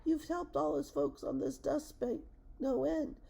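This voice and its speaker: Teeny squeaky little mayor guy from a storybook, super high, polite n thankful. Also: very animated, measured pace, creaky, warm tone, exaggerated voice. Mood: grateful. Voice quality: thin, piping small voice. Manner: polite, earnest. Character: tiny Who mayor, squeaky, polite, grateful small creature.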